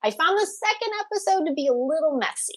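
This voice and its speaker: A woman's voice: high-pitched, lively intonation, fast talker. A woman co-hosting a casual, opinionated review chat, gushing and emphatic, often repeating phrases for emphasis. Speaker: co-host of a drama review show.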